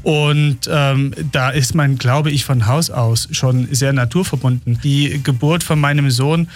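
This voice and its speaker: A man's voice: mid-range voice, steady tone, unhurried. A man from Thuringia with a mild regional accent, answering casually and reflectively as an interview guest. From Thuringia, Germany. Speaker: interview guest, tree-sponsorship organiser.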